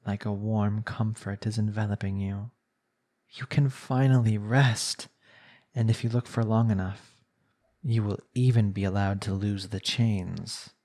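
A man performing an ASMR roleplay as a smug, coaxing young villain hypnotist, speaking in a soothing, deliberate way. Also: on the low side, fairly even intonation, darkish tone. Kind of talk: ASMR roleplay acting, slow hypnotic monologue.

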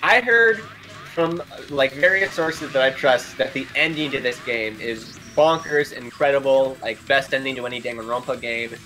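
A man's voice: medium pitch, normal speed, bright, forceful tone. A young man chatting casually and frankly as co-host of a show about a game's story. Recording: music behind the voice. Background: video game music.

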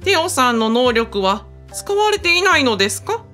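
A woman's voice: sits high, big pitch swings, normal speed, sharp tone, faint music behind, full character voice. An actress in a radio drama playing a composed female aide with polite, refined phrasing. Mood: polite, concerned.

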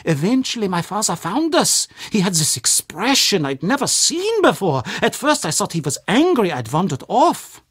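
An actor playing an anxious, gloomy older castle official in a video game, with a German accent and a fretful, melodramatic, formal way of talking. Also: male voice, high-pitched, big pitch swings, moderate pace, few pauses, fairly bright tone, slightly rough, dry recording, clean studio sound, heavily acted. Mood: gloomy, haunted. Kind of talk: video game character acting.

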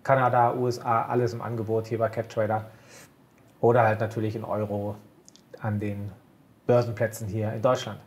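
A man co-hosting a casual market chat, calmly walking through stock charts point by point. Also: on the low side, normal speed. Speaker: co-host of a broker's market show.

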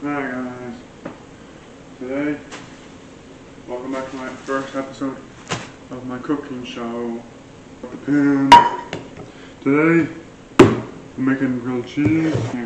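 A man's voice: medium pitch, fairly even intonation, slow pace, long pauses, muffled recording. A young man in his twenties chatting offhand in a casual home cooking vlog, full of fillers. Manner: rambling, offhand, many fillers. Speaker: vlogger. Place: small home kitchen, indoors. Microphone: camera set on the counter, at a distance. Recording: echoey kitchen with background noise.

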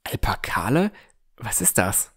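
An actor playing a puzzled but determined young armored swordsman who narrates his fantasy audio drama in a measured, introspective way. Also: fairly low pitch, sing-song, a bit bright, breathy edge, whispered, full character voice. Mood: puzzled, resolute. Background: music.

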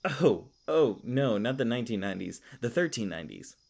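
A man's voice: mid-range voice, very animated, brisk, creaky, darkish tone. A man playing a kindly, flustered, youthful elf park ranger in a fantasy audio roleplay, stammering and rambling apologetically. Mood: chatty, sheepish. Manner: rambling, stammering, apologetic, self-deprecating humor. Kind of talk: fantasy audio roleplay, casual conversational acting.

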